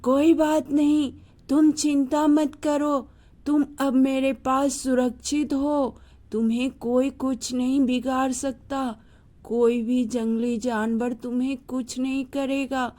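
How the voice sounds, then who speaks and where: A woman's voice: high-pitched, flat delivery, slow pace, mellow. A woman voicing a male uncle snake in a children's story, a hungry predator with a kindly, protective side and an avuncular manner. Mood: reassuring.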